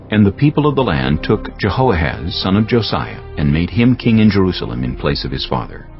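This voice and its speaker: A man narrating scripture for a dramatized audiobook in a solemn, measured storytelling style. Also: low-pitched. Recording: muffled, music bed behind.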